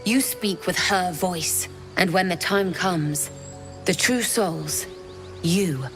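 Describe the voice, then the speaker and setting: An actress playing a wary, devout cult recruit and protective sister in a fantasy video game, guarded and intense. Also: female voice, fairly low pitch, takes it slowly, a bit bright. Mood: grave, reverent. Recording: music behind the voice.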